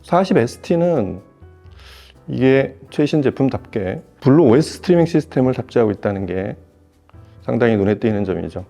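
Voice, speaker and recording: A man giving a semi-formal, explanatory product review in a measured way, rephrasing as he goes. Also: mid-range voice, expressive, creaky, mellow, soft background music. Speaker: audio equipment reviewer.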